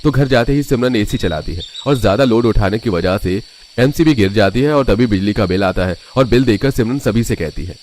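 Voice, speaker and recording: A man with a fairly low voice narrates a scripted cartoon story. He is the storyteller, moving the family tale along. A faint noise is audible in the recording. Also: brisk, a little mellow.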